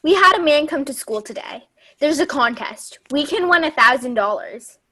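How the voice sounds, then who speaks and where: A woman's voice: high-pitched, animated, moderate pace, pushed and bright. A woman acting an innocent, blunt, eager little schoolgirl daughter in a staged play reading. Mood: proudly eager. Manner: childlike, matter-of-fact.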